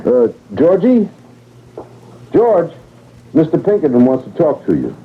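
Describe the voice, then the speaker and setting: A man's voice: fairly high pitch, wildly swooping pitch, a little slow, breathy edge, heavily acted. Earnest brakeman on tinny old radio. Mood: anxious, earnest. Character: earnest working-class male railway brakeman, plain-spoken. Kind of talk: old-time radio drama acting.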